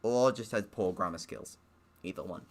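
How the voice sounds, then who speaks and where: A man's voice: deep voice, normal speed. A man doing let's play commentary, reading visual novel text aloud and breaking off for sarcastic, grumbling asides. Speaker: streamer playing and reading a game aloud.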